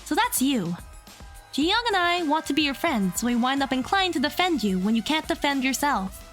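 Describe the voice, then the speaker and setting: A woman's voice: sits high, very animated, normal speed, fairly bright tone, faint music behind. A woman playing an earnest young student in an audio drama, reasoning insistently and emphatically. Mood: resolved, deducing. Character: earnest young female student defending the accused.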